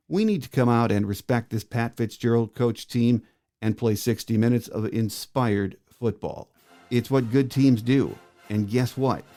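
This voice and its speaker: A man's voice: medium pitch, dark tone. An American man hosting a sports podcast, delivering prepared commentary and introductions. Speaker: podcast host. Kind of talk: scripted sports podcast monologue and interview.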